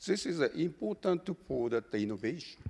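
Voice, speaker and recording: A man with a Japanese accent speaking haltingly as a panelist in a live discussion. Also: a little high, very animated, gravelly, a little mellow, husky. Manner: halting, fragmented English. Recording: reverberant hall.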